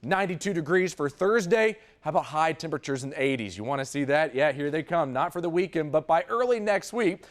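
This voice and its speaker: High-pitched American male TV weathercaster. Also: lively intonation, fairly quick, few pauses. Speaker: TV weather forecaster. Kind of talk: broadcast weather forecast presentation.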